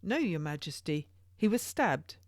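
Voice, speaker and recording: A woman's voice: sits low, very animated, unhurried, dry recording, clean studio sound. A woman voicing a small, well-fed male messenger who tells his news with relish and deference in archaic English for an audiobook. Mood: deferential. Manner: passionate, deferential archaic storytelling.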